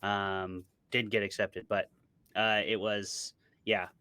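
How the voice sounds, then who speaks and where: A man talks casually as an interview guest, with a low, bright voice. The sound is close and dry, and his explanations are informal and conversational. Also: little pitch movement, slow, clean tone, no echo. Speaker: podcast co-host and author being interviewed.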